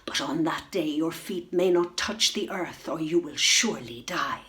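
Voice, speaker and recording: A woman's voice: low voice, a little slow, fairly bright tone. A woman voicing a jealous evil queen and sorceress from a storybook, gloating and commanding as she casts her curse. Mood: triumphant.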